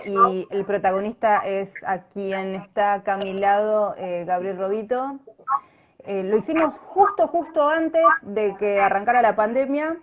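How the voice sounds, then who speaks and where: An Argentine woman talks as a guest in a casual live chat. Her voice sits at a medium pitch, and she explains things conversationally. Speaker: interview guest, writer-director. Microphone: internet live video call.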